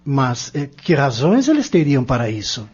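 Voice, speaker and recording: Male actor as curious young man, slightly husky, articulate, questioning, audio drama, muffled dull sound. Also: mid-range voice, sing-song, normal speed, darkish tone. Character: earnest, curious young man seeking answers.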